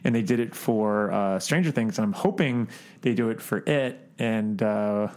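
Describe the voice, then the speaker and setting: A man co-hosting a podcast in casual chat, with a halting, rambling way of talking. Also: lowish voice, darkish tone. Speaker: podcast co-host. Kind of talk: casual chat about books, films and collectibles.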